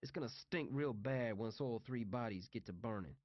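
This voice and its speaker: A man's voice: medium pitch, moderate pace, dry recording, lo-fi sound, lightly acted. A man voicing a composed, pragmatic male inmate leader in an audiobook, reasoning firmly and pointedly. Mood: practical.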